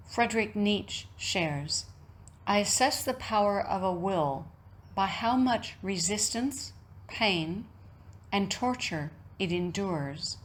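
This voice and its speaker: Slow-speaking woman guiding a meditation. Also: medium pitch. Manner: measured, deliberate phrasing with frequent pauses. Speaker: yoga nidra teacher. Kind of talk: guided meditation talk, reflective and instructional.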